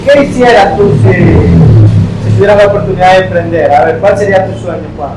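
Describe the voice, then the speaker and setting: A man interviewing and gently questioning a guest, often repeating words as he goes. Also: sits high, big pitch swings, a little fast, gravelly, heavy background noise. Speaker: interviewer giving money. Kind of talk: scripted charity interview. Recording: echoey room with music behind.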